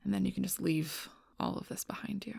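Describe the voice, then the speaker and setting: A woman playing a gruff, stubborn, protective female alpha werewolf in an intense audio roleplay, blunt and often hushed, with a husky voice. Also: mid-range voice, a little fast, no echo, studio quality. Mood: hushed, grim. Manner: intense, blunt, swearing, often dropping to whispers.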